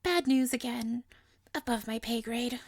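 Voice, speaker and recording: High-voiced, sweetly clingy young witch, played by a woman. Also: vocal fry, no echo, clean studio sound. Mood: wry, playful. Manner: coy, doting, theatrical, drawn-out. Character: sweet, clingy, playful young witch, female, possessively lovestruck. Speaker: voice actor in an audio roleplay. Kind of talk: intimate scripted audio roleplay monologue.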